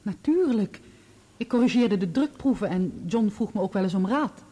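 A composed, loyal woman on the witness stand. Her voice is soft-edged and mellow, and she answers in measured, formal sentences, in radio drama style. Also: medium pitch, expressive, slightly rough. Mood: composed, assured. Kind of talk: Dutch radio play acting.

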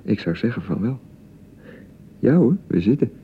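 An actor in a radio play playing an awkward young bridegroom eager to reassure, halting and repeating himself, with a Netherlands Dutch accent. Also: male voice, on the low side, sing-song, a little hiss or hum. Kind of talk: naturalistic radio play dialogue. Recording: thin phone-like radio broadcast sound.

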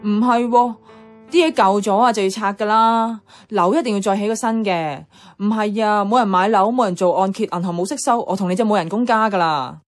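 A woman's voice: mid-range voice, a little fast, close to an everyday voice. A woman acting a nostalgic, stubborn character in a Cantonese radio drama, wistful yet matter-of-fact, with a Hong Kong accent. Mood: insistent. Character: nostalgic, stubborn woman attached to childhood housing estate. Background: guitar music.

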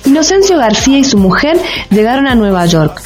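A woman as the storyteller of a radio drama, giving scripted, clearly phrased, expressive narration. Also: a little high, fairly quick, soft background music. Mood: storytelling. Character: storyteller narrating an immigrant's tale.

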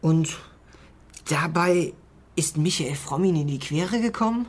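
An actor playing an eager, puzzled junior detective in a German radio crime drama, husky and haltingly thinking aloud. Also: male voice, a little high, animated. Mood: speculating.

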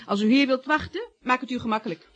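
An actress playing a polite, helpful, slightly anxious office secretary in a Dutch radio comedy. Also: female voice, high voice, quick pace, a bit bright, lo-fi sound, a little hiss or hum. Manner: polite, soothing. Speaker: actor in a radio play.

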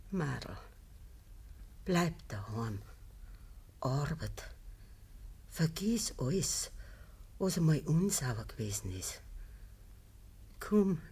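An actress playing an ailing old peasant mother in a radio play, with a breathy, frail voice, a Bavarian accent and a halting, pleading delivery. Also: sits low, big pitch swings, mellow, heavily acted. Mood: pleading, tender. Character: gravely ill old peasant mother, pleading, gentle, pious. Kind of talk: naturalistic dialect radio drama acting.

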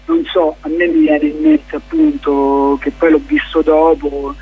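Male interview guest, husky, higher-pitched, reflective and halting delivery. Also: very animated, phone-quality sound. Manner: halting, searching for words, many fillers and restarts. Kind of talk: reflective radio interview answers.

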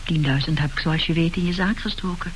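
A woman's voice: low voice, steady tone, a little fast, lightly acted, poor audio. A woman acting a doting middle-aged widow in a radio play. She is earnest, naive and adoring, desperate for a wedding. The old recording carries a faint hiss.

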